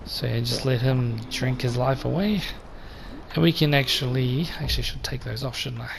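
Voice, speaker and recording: Croaky-voiced male let's play host. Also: mid-range voice, moderate pace. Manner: relaxed and chatty, talks straight to viewers. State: slightly croaky voice. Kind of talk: casual gameplay commentary. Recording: voice over game sound noise.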